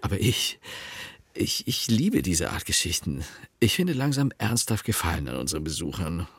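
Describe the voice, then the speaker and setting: An actor playing a wry husband who narrates an evening with guests in a literary radio play. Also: male voice, low voice, big pitch swings, moderate pace, slightly rough, no echo, heavily acted. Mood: wry, amused. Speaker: first-person narrator.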